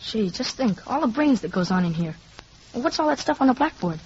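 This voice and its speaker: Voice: mid-range voice, sing-song, brisk, darkish tone, breathy edge. A performer playing an eager, chatty young boy with an informal, wide-eyed gee-whiz manner in a 1950s radio drama. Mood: curious, impressed. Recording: dull, noisy 1950s radio recording.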